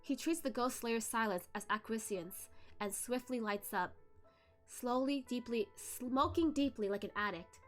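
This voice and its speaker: A high-pitched female narrator reads a novel aloud with dramatic, careful expression. Faint music sits underneath her storytelling. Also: a little slow. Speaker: web novel read-along host.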